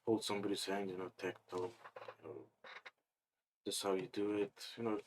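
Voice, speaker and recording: Guest, man, from Afghanistan, low voice, rambling, relaxed, faint noise. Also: brisk. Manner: relaxed, rambling with many fillers. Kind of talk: casual interview chat.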